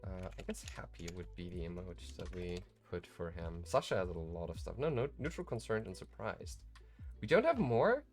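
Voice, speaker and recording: A man with a fairly deep voice hosts a casual livestream tutorial over faint music. He sounds tired, thinks aloud and rambles, often putting himself down in an offhand way. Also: animated, normal speed. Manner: rambling, self-deprecating. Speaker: livestream host.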